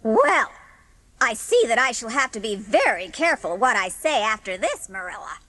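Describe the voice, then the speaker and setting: A woman's voice: a little high, big pitch swings, normal speed, fairly bright tone. A woman playing a haughty, easily offended elderly village busybody in a dramatised audiobook, pompous and emphatic. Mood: affronted.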